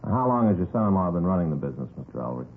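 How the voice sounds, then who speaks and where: Brisk, polite police detective, very rapid delivery, vintage radio drama with a tinny sound. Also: male voice, low-pitched, very animated, slight background noise. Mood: matter-of-fact. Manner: matter-of-fact, polite. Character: brisk, matter-of-fact male police detective. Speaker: actor in a radio crime drama. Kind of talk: understated 1950s radio acting.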